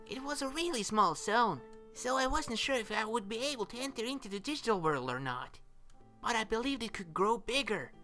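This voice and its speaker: Young boy acted by a woman, audio drama, bright tone, lively intonation, earnest, hesitant, conversational. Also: medium pitch. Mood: subdued, explaining. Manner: hesitant, earnest, conversational with small nervous laughs. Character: earnest young boy missing his monster companion. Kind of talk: audio drama monologue, spoken as a message.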